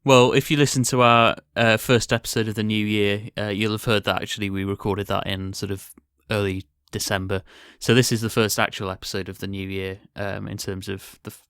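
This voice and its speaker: A man's voice: on the low side, level tone, brisk, hardly any pauses, fairly bright tone, smooth, no room sound, studio quality. A man co-hosting a podcast about a theatre company in casual discussion.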